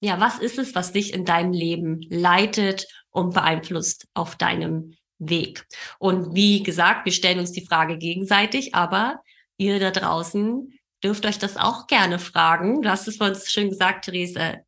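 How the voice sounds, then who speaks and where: A woman's voice: on the low side, measured pace, a bit bright. A woman in her thirties from Berlin, Germany, talking casually as a podcast host in a conversational style. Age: 30s.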